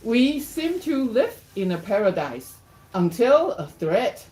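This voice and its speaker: Slow, theatrical storybook narrator read by a woman. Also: on the high side, big pitch swings, mellow, pitched above the performer's own voice, low-quality recording. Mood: storytelling. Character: storybook narrator of mice and a villainous cat, theatrical. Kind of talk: picture-book read-aloud in rhyme.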